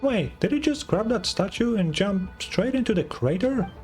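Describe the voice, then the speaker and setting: A man voicing a small, chatty, excitable fairy companion, reading out video game dialogue in a chirpy, questioning way. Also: high-pitched, moderate pace, soft background music. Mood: excited, wondering.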